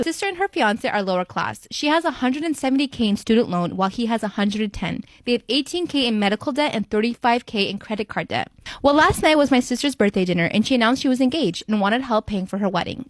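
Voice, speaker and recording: A woman narrating first-person storytime posts in a brisk, even reading voice. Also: medium pitch, no room sound.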